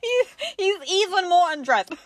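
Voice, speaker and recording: High-pitched, animated, giggly woman co-host. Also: moderate pace, no echo. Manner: giggly, amused. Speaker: co-host of a craft show. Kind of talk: casual, jokey chat.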